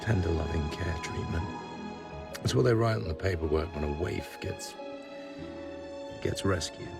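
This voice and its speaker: Loud music plays under a suave secret agent in a radio drama. His voice is deep and creaky, relaxed, teasing and seductive. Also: moderate pace. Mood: teasing, tender. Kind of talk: radio play acting.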